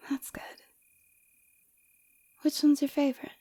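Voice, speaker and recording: A woman playing a flirty, forward villain and rival warrior in an ASMR roleplay, teasing and coy with a breathy voice, close to the microphone. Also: sits high, monotone, a little slow, dark tone. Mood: playful, flirty. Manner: teasing, coy, seductive, often dropping to breathy whispers.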